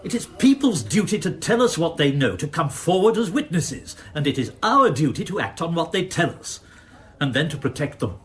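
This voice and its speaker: An actor playing an earnest, moralising but hesitant chief constable in a crime radio drama. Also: male voice, a little high, sing-song, moderate pace, a bit bright. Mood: indignant, earnest. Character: anxious, high-minded, ineffectual male chief constable.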